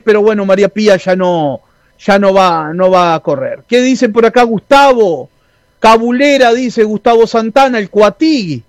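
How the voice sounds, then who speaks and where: A man's voice: high voice, no room sound. A man with a Uruguayan accent hosting a radio show, chatting casually and reading listener messages with many fillers and restarts. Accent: Uruguayan Spanish. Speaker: radio host.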